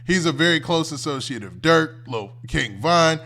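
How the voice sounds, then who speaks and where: An American man with a somewhat high-pitched voice hosts a reaction stream. He talks casually and off the cuff, in loose colloquial phrases, as if chatting directly to his viewers. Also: expressive, normal speed, bright, forceful tone. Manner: conversational, colloquial, often ends phrases with "man". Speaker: host of a commentary livestream.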